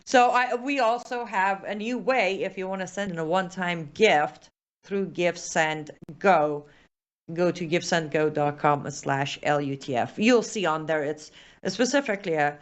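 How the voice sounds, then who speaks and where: A woman's voice: on the low side, measured pace. A woman with a Persian accent, hosting a live show and chatting through viewer comments, with many fillers and restarts.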